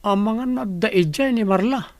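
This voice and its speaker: An actor playing a brisk, scheming character in a Philippine radio drama. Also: mid-range voice, no echo, lightly acted. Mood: urgent.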